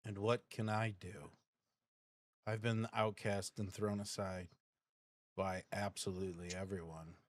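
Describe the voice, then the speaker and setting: A man playing a dejected, bitter exiled racer in a tabletop game, speaking haltingly and repeating his words. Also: on the low side, flat delivery, no room sound, clean studio sound, close to an everyday voice. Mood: bitter, defeated. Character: exiled racer, dejected, bitter, bewildered young nobleman's outcast. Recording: music behind the voice.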